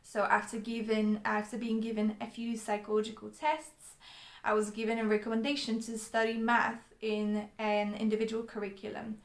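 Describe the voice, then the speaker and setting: A woman's voice: a little high, completely flat delivery, moderate pace. A young Polish woman tells her personal story straight to camera, speaking with few rises or falls. She is earnest and often stops on an um or restarts a phrase. The room around her sounds echoey. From Poland. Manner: earnest, with frequent ums and restarts. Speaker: vlogger.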